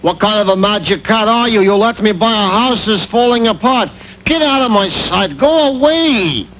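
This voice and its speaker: A man's voice: high voice, expressive, moderate pace, fairly bright tone, poor audio. An American man voicing a hapless, complaining young peasant in a cartoon, exasperated and whining, on an old TV soundtrack. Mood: indignant. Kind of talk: comic cartoon voice acting. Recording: old taped TV cartoon soundtrack, faint noise.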